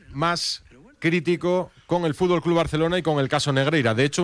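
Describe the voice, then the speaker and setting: A man with a Castilian Spanish accent giving a live sports news report in a measured, formal, explanatory way. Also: fairly high pitch, smooth.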